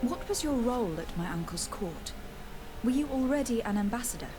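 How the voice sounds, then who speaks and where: A woman's voice: fairly high pitch, animated, a little fast, lightly acted. An actress playing a courteous young noblewoman on a diplomatic errand in a video game, formal and composed. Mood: polite, composed. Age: young adult. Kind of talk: video game dialogue acting. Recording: game dialogue under music.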